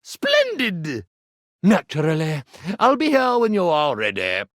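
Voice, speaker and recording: A man voicing a boastful, grandiose showman magician in a video game, pompous and flattering. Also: fairly high pitch, measured pace, dry recording, clean studio sound, heavily acted. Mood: grand boasting. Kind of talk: video game character acting.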